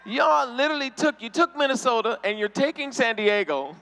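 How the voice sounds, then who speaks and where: A man's voice: very high-pitched, expressive, normal speed, sharp tone, slight background noise. A man doing stand-up at a convention, teasing the crowd and laughing often. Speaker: stand-up comedian. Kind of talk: stand-up comedy. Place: convention hall stage.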